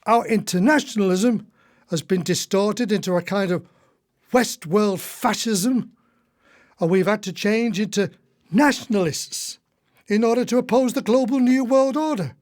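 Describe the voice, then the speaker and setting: A man's voice: sits high, measured pace. A man acting in a radio play as a retired husband, an indignant, long-winded, disillusioned old socialist. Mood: indignant, exasperated. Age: older.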